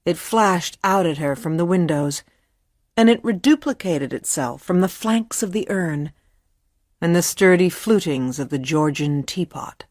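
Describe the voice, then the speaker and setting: A woman narrator reading a literary ghost story in a measured, formal storytelling manner. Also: fairly low pitch, animated, a little mellow, no room sound, studio quality. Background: faint music.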